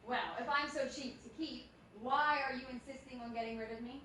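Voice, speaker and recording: A woman playing an indignant, sarcastic, sharp-tongued radio actress in a comic stage radio play. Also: high-pitched, steady tone, a little fast. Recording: very echoey, distant stage, faint noise.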